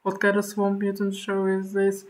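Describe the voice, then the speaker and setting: A woman streamer giving animated, sweary, exclaiming reaction commentary on a game. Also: mid-range voice, normal speed.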